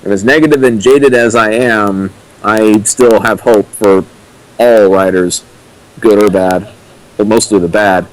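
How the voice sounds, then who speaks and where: A man's voice: lowish voice, steady tone, a little slow, rough recording. A man co-hosting with dry, sardonic critical commentary. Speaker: co-host commenting on fan fiction.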